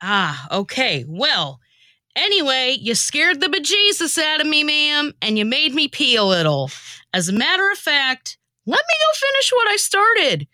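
Woman acting out an exasperated lady in a comic radio play, bright forceful voice, swings up and down a lot. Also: sits high, wildly swooping pitch, a little slow, no room sound, studio quality, full character voice. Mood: startled, flustered. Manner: exclamatory, slangy, theatrical. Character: woman playing her exasperated, easily riled comic self. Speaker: podcast host and scriptwriter.

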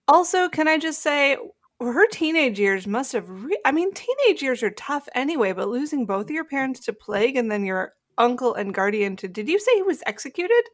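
A woman's voice: high-pitched, big pitch swings, moderate pace. A woman podcast co-host chatting casually and reacting to a story with wry, joking comments.

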